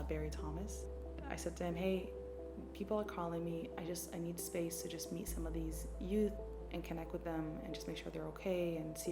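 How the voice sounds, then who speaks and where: Woman w a low voice telling her story, earnest n reflective, echoey room, music pretty loud behind her. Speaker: community mental health worker. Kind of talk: personal storytelling interview. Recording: roomy echo, loud music underneath.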